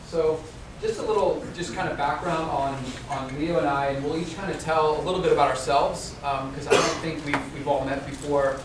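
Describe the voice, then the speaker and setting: A man's voice: fairly high pitch, steady tone, moderate pace. A man from Central Ohio giving a conference talk, with many ums and restarts. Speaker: conference presenter. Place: conference room. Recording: echoey hall, mic at a distance, audible noise.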